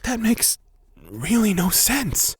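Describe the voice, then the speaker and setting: A performer playing an earnest foundling from a desert temple in an audio drama, in a husky voice. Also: mid-range voice, very animated, slow, dry recording, heavily acted. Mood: puzzled, disbelieving.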